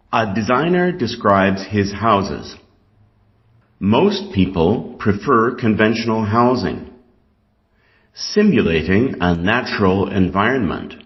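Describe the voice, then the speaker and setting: A Canadian man reading scripted test material aloud in a deliberate, instructional way. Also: lowish voice. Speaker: reader of an English exam reading passage. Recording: dull, lo-fi sound.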